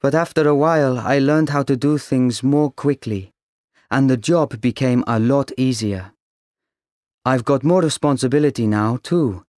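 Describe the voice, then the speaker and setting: An actor reading a scripted listening exercise as a young man working in a cafe, in a clear, steady reading. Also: medium pitch, measured pace, no echo, studio quality, close to an everyday voice. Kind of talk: scripted textbook listening monologue.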